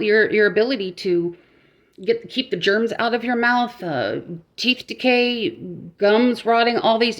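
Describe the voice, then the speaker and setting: A woman's voice: mid-range voice, highs cut off. A middle-aged woman vlogging casually, rambling and joking at herself, talking with a dry mouth that makes speaking hard. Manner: rambling, self-mocking, with frequent restarts and laughs. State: dry mouth, struggling to talk. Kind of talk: casual vlog monologue.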